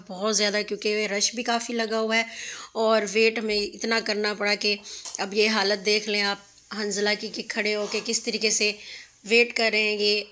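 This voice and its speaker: Woman in her thirties, family vlogger, flat even voice, chatty, phone recording outdoors at night. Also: medium pitch. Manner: chatty, explains at length with many fillers. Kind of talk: casual vlog narration. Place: outdoor restaurant lawn at night.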